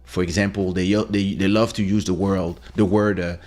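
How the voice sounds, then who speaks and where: Low-voiced podcast host. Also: male voice, moderate pace. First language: French. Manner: relaxed, repeats words as he restarts, reads viewer comments aloud. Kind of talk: casual interview chat.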